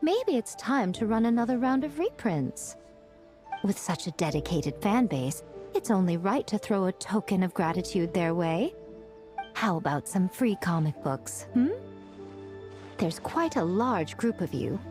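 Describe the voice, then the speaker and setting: A woman voicing a sly, poised woman who speaks in a smooth, coy, teasing way. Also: medium pitch, big pitch swings, unhurried. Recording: game audio with faint music.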